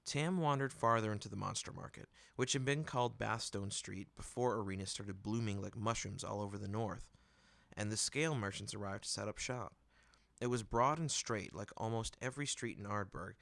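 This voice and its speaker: A man reading a novel aloud in an expressive storytelling manner, close to the microphone. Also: on the low side, moderate pace, gravelly, dry recording. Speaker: audiobook reader. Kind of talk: scripted fiction narration. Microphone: close microphone, dry room.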